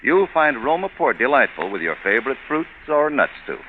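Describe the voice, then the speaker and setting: A man's voice: mid-range voice, big pitch swings, fairly quick. A man announcing in polished 1940s radio style, with emphatic, scripted salesmanship. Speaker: radio announcer and sponsor pitchman. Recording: tinny, hissy old radio broadcast.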